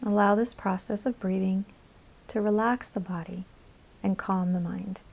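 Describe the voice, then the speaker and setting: A woman guiding a yoga nidra meditation in a calm, soothing, instructional manner, with measured phrases and pauses. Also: medium pitch, vocal fry. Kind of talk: guided meditation narration.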